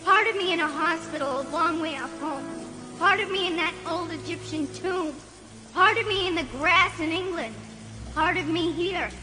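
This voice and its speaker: Ghostly frightened boy in deep reverb. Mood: scared, pleading. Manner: slow, halting, plaintive. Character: frightened, pleading young boy, ghostly and lost. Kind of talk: dramatized audiobook radio theatre acting. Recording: long cavernous reverb, faint music.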